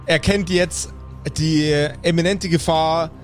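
Man, rather high voice, game master, improvised narration and rules talk, background music. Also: fairly even intonation, slow.

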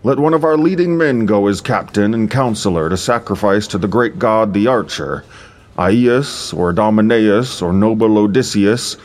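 A man's voice: lowish voice, normal speed, a little mellow, natural voice. A man playing an arrogant, wrathful king and army commander in an epic audio drama, imperious and menacing in his declamation. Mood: menacing, commanding.

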